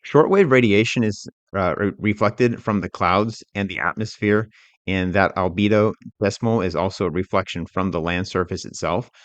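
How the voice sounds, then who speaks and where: Low-voiced man teaching a software tutorial. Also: normal speed, dry recording. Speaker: instructor. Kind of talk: software tutorial narration, explanatory.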